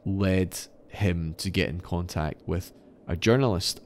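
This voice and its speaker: A man hosting a podcast, telling stories in a semi-scripted, articulate and measured explanatory way. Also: low-pitched, a little mellow, a little hiss or hum. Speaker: podcast host. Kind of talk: semi-scripted storytelling narration.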